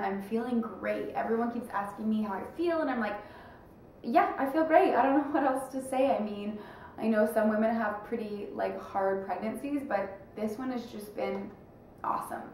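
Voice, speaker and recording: A woman's voice: medium pitch, moderate pace, a little hiss or hum. Chatty young woman in an echoey room. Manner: rambling, chatty, lots of fillers. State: stuffed-up nose. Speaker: vlogger. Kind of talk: casual chat to camera. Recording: echoey room, mic at a distance.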